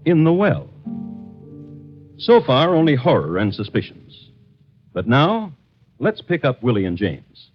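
A man narrating as host of a 1950s radio drama, wry, deliberate and theatrical. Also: medium pitch, wildly swooping pitch. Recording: muffled 1950s radio recording with music.

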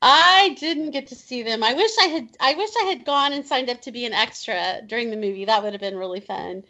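Guest spot: Indiana woman in her fifties, talkative, fillers and laughs, bit higher pitch, slightly dull audio. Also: a little fast, bright, forceful tone. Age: 50s. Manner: chatty, many um fillers and restarts, laughs often. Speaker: children's book author, guest. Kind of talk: casual interview chat.

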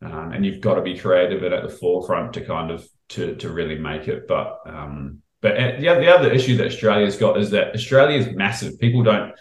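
A man's voice: low-pitched, brisk, few pauses, roomy sound. A middle-aged man with a New Zealand accent, a chef chatting casually in an interview, rambling with many fillers and restarts. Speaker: chef guest.

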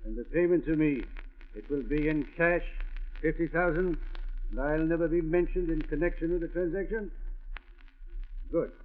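A man's voice: a little high, moderate pace, long pauses, close to an everyday voice. An actor playing a pompous, stoutish businessman with genteel, hesitant speech in a 1940s radio drama. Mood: furtive, businesslike. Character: stoutish self-important gentleman importer, eager to impress, secretive. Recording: tinny, muffled old radio recording.

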